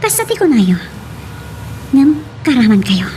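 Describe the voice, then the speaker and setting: A woman's voice: a little high, sing-song, unhurried, dark tone, slight background noise. An actress with an Ilocano accent playing an earnest, insistent young policewoman in a radio drama. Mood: accusing, insistent.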